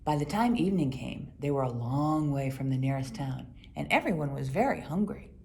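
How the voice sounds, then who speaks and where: A woman reading a children's Bible story aloud in an expressive storytelling manner. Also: low voice, moderate pace, creaky, slight background noise. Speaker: storybook reader.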